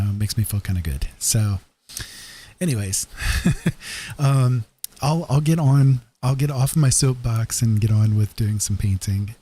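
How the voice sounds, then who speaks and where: A man hosting a stream, chatting casually in a rambling, hesitant, self-effacing way with a husky voice. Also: fairly low pitch, mellow, a little hiss or hum. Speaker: streamer host.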